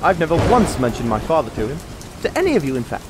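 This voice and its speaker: A man's voice: fairly high pitch, big pitch swings, quick pace, background noise. A man playing a prim, self-important, suspicious adventurer in a comic fantasy audio drama, wordy and exasperated. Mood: indignant. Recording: rain and thunder sound effects behind.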